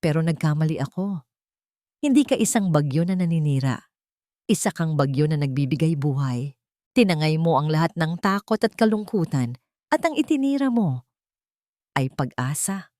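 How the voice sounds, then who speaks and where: A woman's voice: deep voice, animated, moderate pace, long pauses, dark tone, dry recording. Romantic male lead read by a female narrator, earnest, poetic, devoted, dry close sound. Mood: tender, earnest.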